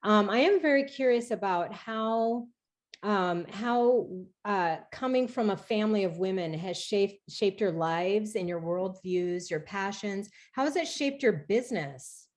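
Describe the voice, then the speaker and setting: A woman in her forties, an executive chef hosting an interview on a video call from her home kitchen, welcoming her guests eagerly and pausing on fillers as she asks questions. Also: medium pitch, animated. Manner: enthusiastic, with hesitant fillers when asking questions.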